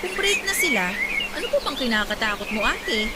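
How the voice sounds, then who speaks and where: A woman playing a concerned younger sister who gently questions and advises in a Tagalog radio drama. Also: a little high, very animated, brisk, heavy background noise. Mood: probing, supportive. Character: caring, probing younger sister giving cautious advice. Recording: loud music under the voice.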